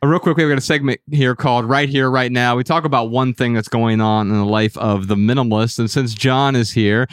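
A middle-aged man from Ohio with an American accent, talking in a measured, reflective way as a podcast host. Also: mid-range voice, fairly even intonation, clean tone, no echo, clean studio sound. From Dayton, Ohio, United States. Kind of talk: conversational podcast discussion. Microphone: close studio podcast mic.

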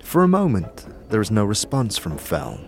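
Low-voiced male storyteller. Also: huge pitch swings. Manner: measured, storytelling delivery. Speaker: narrator. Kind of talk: scripted dramatic second-person narration. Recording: music behind the voice.

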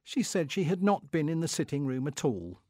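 A pompous middle-aged clerk of the Victorian era, acted out by a man, reading his diary aloud with fussy indignation. His voice swoops expressively and sits a little high for a man, in a very dry, close recording. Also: sing-song, fairly quick, a little mellow, dry recording. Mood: aggrieved, self-satisfied. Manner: pompous, indignant, self-satisfied. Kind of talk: dramatic comic reading of a diary.

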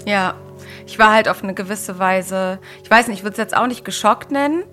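A woman in her early thirties hosting a discussion in an articulate, measured way. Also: mid-range voice, a bit bright, soft background music. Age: early 30s. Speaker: podcast host. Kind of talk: scripted narration and conversation about books and society.

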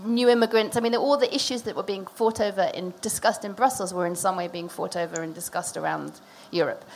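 A woman's voice: mid-range voice, a little hiss or hum. A woman moderating a discussion, feeling her way through questions and rephrasing them in a tentative, searching manner.

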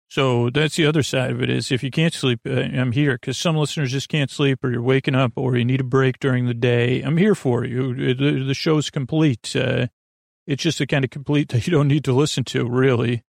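Male bedtime podcast host, creaky voice, dry close sound, wandering and halting delivery. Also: mid-range voice, dry recording. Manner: meandering, halting, digressive, often trailing off. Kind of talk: rambling bedtime monologue meant to lull listeners to sleep.

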